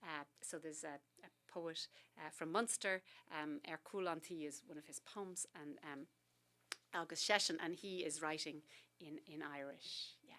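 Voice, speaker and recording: A woman poet reading her poems aloud and explaining them, soft and halting between lines and more expressive in the verse. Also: sits low. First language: English. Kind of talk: poetry reading on a talk show.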